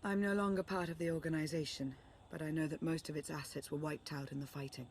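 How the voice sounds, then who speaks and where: A female Sith strategist from a sci-fi video game. Her voice sits low for a woman, and she speaks formally and with measured care, earnest and composed. A faint ambient noise runs behind her. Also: fairly even intonation, darkish tone. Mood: grave, composed. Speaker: advisor and ally. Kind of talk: scripted game dialogue, briefing.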